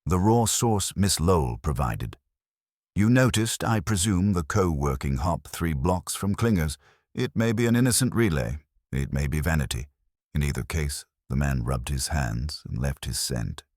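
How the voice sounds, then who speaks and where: A man's voice: very deep voice, lively intonation, moderate pace, darkish tone, no echo, studio quality. A man voicing an imperious, eccentric master detective in an audiobook, with formal, pompous, precise speech. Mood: imperious, exacting. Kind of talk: acted dialogue in a detective audiobook.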